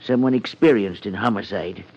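Hesitant old village official, dull radio sound. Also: male voice, on the low side, normal speed, husky. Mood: anxious, pleading. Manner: hesitant, pleading, deferential. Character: earnest, anxious old male village town supervisor. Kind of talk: 1950s radio drama acting. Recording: dull 1950s radio recording.

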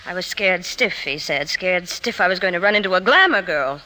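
A woman's voice: fairly low pitch, expressive, normal speed, sharp tone, rough recording. An actress playing a troubled, proud woman magazine editor in a 1950s radio drama, speaking in an emotional, clipped, imperious way. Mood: flustered, determined. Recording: vintage 1950s radio broadcast.

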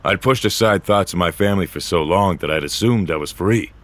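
Very deep, weary augmented old-timer. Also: male voice, a bit bright. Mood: guilty, brooding. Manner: hesitant, halting, low-key. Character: guilty, weary 150-year-old augmented great-grandfather, self-absorbed. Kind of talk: audio fiction acting with first-person narration.

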